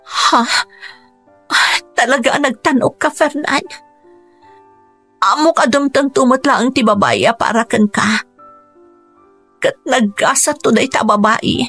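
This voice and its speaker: A woman's voice: high-pitched, slow, long pauses, fairly bright tone, breathy edge, soft background music, full character voice. An actress with a Filipino Ilocano accent playing an earnest woman in a rural family radio drama. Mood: regretful, plaintive.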